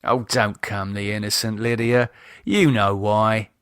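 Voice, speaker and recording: A man's voice: lowish voice, steady tone, unhurried, a bit bright, clear, resonant tone, no echo, clean studio sound. A man playing an uneasy, reluctant man with a dryly sarcastic, hesitant manner in audiobook dialogue. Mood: defensive. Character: uneasy, sardonic adult man, wary and reluctant.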